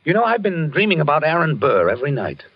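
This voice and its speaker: A fast-talking, haunted husband. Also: male voice, fairly high pitch, very animated, no room sound, faint music behind. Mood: defensive. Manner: fast, evasive, defensive. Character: troubled husband haunted by dreams. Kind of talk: 1940s radio acting. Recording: dry, muffled old radio broadcast.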